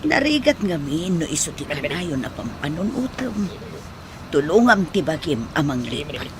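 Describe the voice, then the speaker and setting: A woman's voice: sits low, sing-song, normal speed, background noise. Fussing older mother, swooping voice. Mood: consoling. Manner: tender, advising. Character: older mother, fussing and protective of grown child. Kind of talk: radio drama acting.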